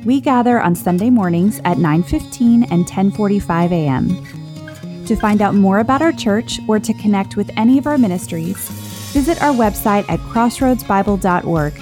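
A woman reads a friendly scripted welcome for a church's recorded sermons. Her voice is mellow and warm, and faint guitar music plays beneath her. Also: medium pitch, animated, moderate pace. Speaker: church announcer. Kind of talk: scripted podcast intro.

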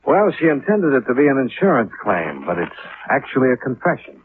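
Shrewd unflappable investigator, old radio drama, clipped and dry, thin hissy sound. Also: male voice, mid-range voice, huge pitch swings, fairly quick. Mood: cool, accusing. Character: shrewd, unflappable male insurance investigator, dryly relentless. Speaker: lead actor of a detective series. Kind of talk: 1950s radio acting. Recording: tinny, hissy 1950s radio recording.

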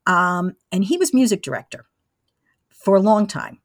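A woman in her sixties telling casual anecdotes as an interview guest, with an animated storytelling manner. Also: medium pitch, normal speed, no room sound, clean studio sound. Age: 60s. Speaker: interview guest, former college radio engineer.

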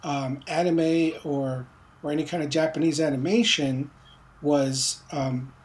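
A man's voice: on the high side, fairly even intonation, a little slow. A man from the Philippines, raised in Montreal, talking loosely about his comic in an interview, with many fillers and restarts. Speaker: comic book writer. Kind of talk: casual interview.

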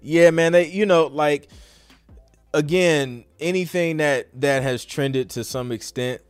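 A man's voice: fairly high pitch, takes it slowly, clean tone, no room sound, soft background music. A man hosting a podcast in a casual live Q&A, talking informally with slang and thinking aloud as he goes. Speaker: podcast host answering listener questions.

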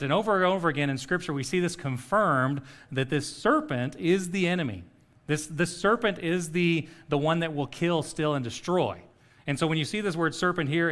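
Middle-aged male pastor, a touch high-pitched, chatty sermon delivery. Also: fairly bright tone. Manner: conversational, checks in with "okay?".

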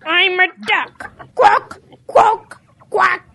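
A woman's voice: extremely high pitch, extremely slow, gravelly, sharp tone, no echo, exaggerated voice. A woman voicing a childish, stubborn boy calf who insists he is a duck, in an animal audio drama. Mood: defiant, playful.

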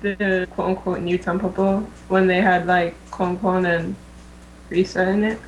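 A woman's voice: fairly low pitch, little pitch movement, a little slow. A woman co-hosting a podcast in an informal, conversational way. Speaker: podcast co-host. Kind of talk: casual chat. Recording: noisy home recording with hum.

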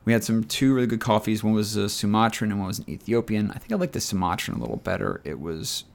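Low, scratchy-voiced man telling stories. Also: lively intonation, fast, vocal fry. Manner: rambling, chatty storytelling. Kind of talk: casual chat.

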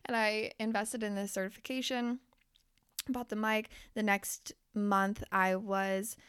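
A woman's voice: mid-range voice, flat delivery, unhurried, a bit bright, clean tone, no echo, clean studio sound. A young woman podcast host and life coach who is losing her voice. She talks candidly and swears freely as she speaks straight to her listeners. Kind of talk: casual motivational podcast monologue.

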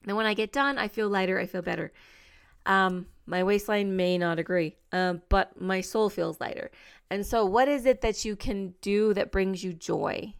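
A woman's voice: medium pitch, vocal fry, clear, resonant tone. A woman hosting a solo podcast, talking to listeners in an earnest, upbeat, encouraging way, with plenty of fillers. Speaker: podcast host.

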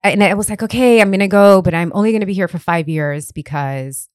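A woman's voice: mid-range voice, fairly quick, clean tone, no room sound, clean studio sound. A woman in her forties from Puerto Rico, a guest telling stories in casual chat and laughing often. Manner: animated storyteller, laughs often.

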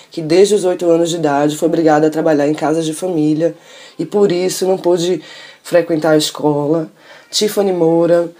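A middle-aged woman from Salvador, a filmmaker presenting her documentary in a measured, informal way, often adding questioning tags. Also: low-pitched, warm tone. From Salvador, Bahia, Brazil. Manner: measured, tags sentences with questioning asides, thoughtful pauses.

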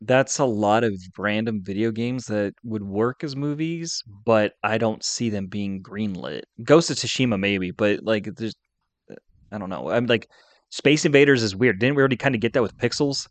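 A man with a slightly low voice, talking as a podcast co-host. He sounds informal and opinionated, like a fan chatting casually, on a very dry recording. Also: moderate pace, no echo. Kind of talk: casual geek-culture chat.

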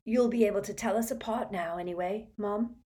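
A woman's voice: mid-range voice, a little fast, warm tone, pitched above the performer's own voice, natural voice. A woman voicing an injured young man in a storybook, murmuring faintly with cheeky, weak humour. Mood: teasing, tired.

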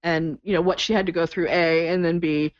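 A woman's voice: on the low side, fairly even intonation, fairly quick, no room sound. An enthusiastic woman in her forties co-hosting a podcast in a casual, chatty way that breaks into laughter easily. Her sound is dull and lo-fi, and the room is dry. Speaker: podcast co-host.

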